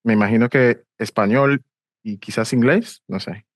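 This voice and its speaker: A man's voice: medium pitch, normal speed, no echo, clean studio sound. A man hosting a podcast interview, asking questions hesitantly with many fillers and repeated words. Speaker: podcast host. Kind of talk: casual interview conversation.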